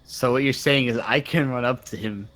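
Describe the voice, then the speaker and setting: A man's voice: medium pitch, steady tone, normal speed, fairly bright tone. A man chatting casually as a player in a tabletop roleplaying game.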